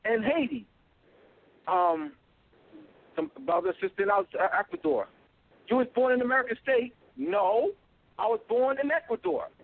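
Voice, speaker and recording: Insistent man lecturing over a tinny phone line. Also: very high voice. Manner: insistent, repetitive, many filler ums and uhs. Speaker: guest speaker. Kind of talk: call-in lecture, talking to a host.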